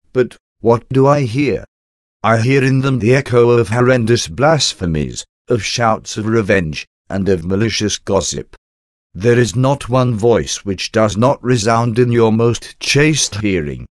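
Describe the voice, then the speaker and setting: A man's voice: lowish voice, no room sound, studio quality. A man reading a devotional prayer aloud in an earnest, pleading, reverent way. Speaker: devotional reader.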